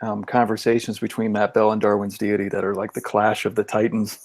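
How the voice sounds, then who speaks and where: A man's voice: on the low side, completely flat delivery, a little fast, darkish tone, no room sound. A man in his thirties chatting casually on an online group call. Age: 30s.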